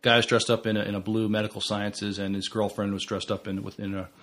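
A man co-hosting a podcast, talking casually about films in a halting, stammering way. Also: on the low side, completely flat delivery, fairly bright tone. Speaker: podcast co-host. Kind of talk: casual film review chat.

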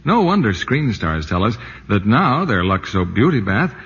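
A man reads a 1940s radio advertising pitch with practiced, persuasive salesmanship. His voice is husky and very animated, on a muffled vintage broadcast. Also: normal speed, big-room sound. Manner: smooth-selling, scripted. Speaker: commercial announcer.

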